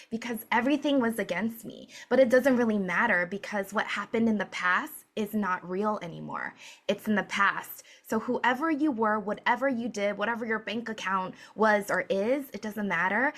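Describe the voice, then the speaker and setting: A woman from Hawaii, a business coach and author, telling her story in an energetic, earnest way and laughing often. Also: mid-range voice. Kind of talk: conversational interview answers.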